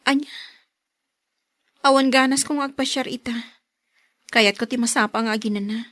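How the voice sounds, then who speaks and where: A woman's voice: a little high, fairly even intonation, moderate pace, a bit bright, no room sound, studio quality, close to an everyday voice. A woman with an Ilocano accent acting a suspicious, hurt young wife in a radio drama. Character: suspicious, hurt young wife, quietly probing then tearful.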